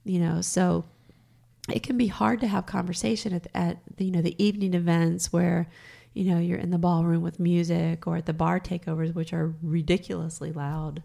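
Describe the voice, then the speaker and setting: A middle-aged woman co-hosting a podcast in casual chat, with a raspy, slightly hoarse voice, frank and quick to laugh. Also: on the low side, flat delivery, mellow. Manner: chatty, frank, laughs easily. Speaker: podcast co-host.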